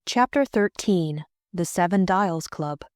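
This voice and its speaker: A woman narrates an audiobook from a script. Her voice sits a little low for a woman. The recording is dry and close, free of room sound. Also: lively intonation, slow, a little mellow, no room sound.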